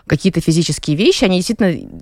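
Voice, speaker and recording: Fast-talking reflective woman in the studio. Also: on the low side, lively intonation, dry recording. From Latvia. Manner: articulate, reflective, with soft laughs. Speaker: guest artist. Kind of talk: radio interview conversation. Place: radio studio. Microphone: studio microphone.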